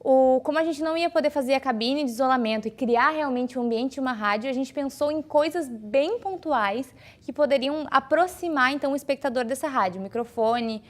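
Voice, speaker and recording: A woman's voice: high voice, fairly quick, hardly any pauses. A woman, an actress talking in an interview about a theatre production. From Brazil. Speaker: stage actress.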